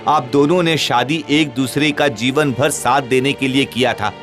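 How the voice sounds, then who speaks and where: An actor voicing a kind, righteous, devout young village man in a Hindi animated moral story, speaking earnestly and preachily. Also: medium pitch, moderate pace, lightly acted. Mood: solemn advice. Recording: loud background music.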